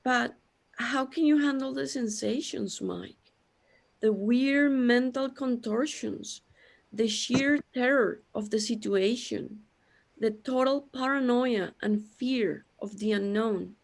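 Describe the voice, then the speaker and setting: A woman's voice: fairly high pitch, takes it slowly, vocal fry, exaggerated voice, low-quality recording. A woman acting an anxious, bewildered character overwhelmed by existential dread in a sci-fi audio drama. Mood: panicked, faint.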